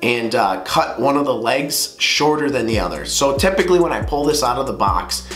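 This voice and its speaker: A man's voice: mid-range voice, animated, moderate pace, a bit bright, echoey room, faint music behind. A man hosting a fishing video, talking casually to camera with a slightly nasal voice from a cold, rambling with many fillers. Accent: Upper Midwest American. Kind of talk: casual how-to talk to camera.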